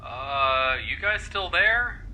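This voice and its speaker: Young space crew dude, super bright sharp voice, bouncy, griping and casual. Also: male voice, a little high, slow, exaggerated voice, rough recording. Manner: informal, animated. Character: casual, gossipy, complaining young male space crewman. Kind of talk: audio drama acting.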